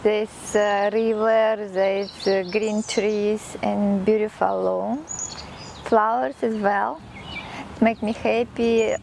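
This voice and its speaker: A woman with a Ukrainian accent, speaking slowly and haltingly with many pauses as she shares personal reflections in an interview. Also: medium pitch, steady tone, vocal fry, darkish tone, slight background noise. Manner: slow, halting, with pauses and fillers.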